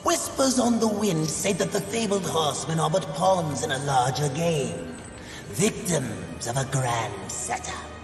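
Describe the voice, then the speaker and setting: A sly demon merchant, unctuous and theatrical, swooping from raised tones downward at a slow pace. His flowery game patter echoes through a hall with music behind. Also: fairly high pitch, expressive. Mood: sly, enticing. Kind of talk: video game character acting.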